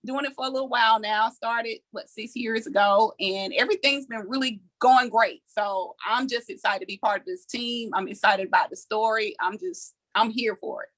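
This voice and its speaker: A woman from Atlanta introduces herself casually as an actress. Her voice is a little high, and she talks in an excited, gushing manner. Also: moderate pace, hardly any pauses, rough recording. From Atlanta, Georgia, USA.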